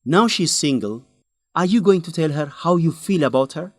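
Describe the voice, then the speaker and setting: An actor playing an earnest, principled young man who urges his friend in a radio drama, with an animated, scolding manner. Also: a little high, normal speed, no echo. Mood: teasing, friendly. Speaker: friend and fellow researcher.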